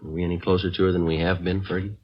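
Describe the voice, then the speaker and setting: An actor playing a businesslike police detective sergeant with an American accent, speaking in a matter-of-fact, deadpan way in an old radio drama. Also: male voice, deep voice, very fast, slight background noise, natural voice. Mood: casual, dry. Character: dry, businesslike police detective sergeant narrating his case. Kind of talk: 1950s radio acting, clipped scripted narration. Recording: muffled vintage radio, music behind.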